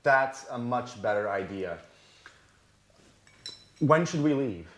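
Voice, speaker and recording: An actor playing a nervous, apologetic singer in a scripted sitcom for language learners, speaking anxiously and clearly. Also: male voice, medium pitch, animated, slow pace, a bit bright. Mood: polite, unsure. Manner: anxious, self-doubting. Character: nervous, apologetic, clumsy male professional singer before a show. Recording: echoey room sound.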